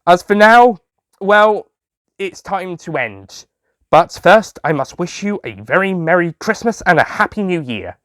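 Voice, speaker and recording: A man's voice: sits high, expressive, measured pace, a bit bright, dry recording, clean studio sound, pitched above the performer's own voice. A man playing a jolly, sentimental male sweet-shop owner who tells his own story in a fond, theatrical manner for an audio drama.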